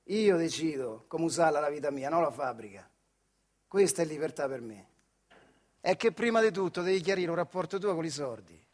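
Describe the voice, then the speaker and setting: Proud male factory worker, central Italian dialect, high pitch, animated storytelling, colloquial, radio theatre. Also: normal speed. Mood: proud, reflective. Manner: colloquial, animated, punctuated with rhetorical questions. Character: proud, stubborn, plain-spoken factory worker recalling his life. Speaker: actor-narrator.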